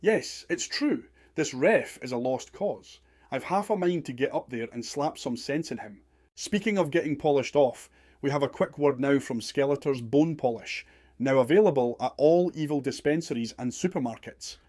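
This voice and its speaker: An earnest wrestling commentator played by a man with a mellow, mid-pitched voice. He calls the parody match in florid, dramatic phrases, recorded dry and close. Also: lively intonation, moderate pace, no room sound, natural voice. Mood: earnest hype.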